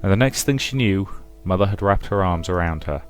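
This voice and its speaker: Man, lowish pitch, let's play host, dramatic story narration, soft guitar music behind. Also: animated, brisk.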